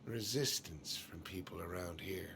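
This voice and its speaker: An actor playing an evasive, folksy middle-aged small-town store boss, speaking hesitantly in naturalistic game dialogue. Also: male voice, low voice, a little slow, gravelly, noisy background. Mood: apologetic.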